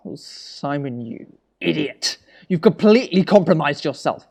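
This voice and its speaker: A man playing a controlled, ruthless government minister in an audio drama, with a measured, coaxing way of talking. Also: on the high side, expressive, vocal fry, darkish tone, husky, full character voice. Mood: angry, urgent. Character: calm, ruthless male government minister hiding a conspiracy.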